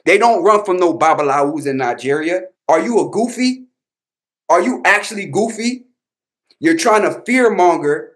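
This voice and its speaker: A high-voiced American man hosting a reaction rant. He talks in a casual, slangy style and drives his points home with combative emphasis and repetition. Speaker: host, babalawo commentator.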